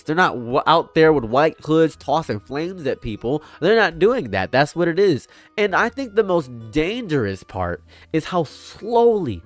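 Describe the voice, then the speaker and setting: An American man of around thirty giving casual, impassioned political commentary in an emphatic, conversational way, often repeating himself and checking in with the listener. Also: fairly low pitch. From United States (Detroit and Toledo). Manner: emphatic, conversational, repeats phrases and tags them with "right?".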